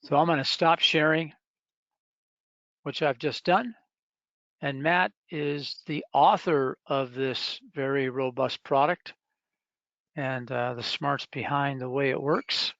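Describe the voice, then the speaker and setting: A man presenting a software product in a live webinar, explaining step by step with halting pauses. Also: medium pitch, steady tone, no room sound. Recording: dull, lo-fi webinar audio.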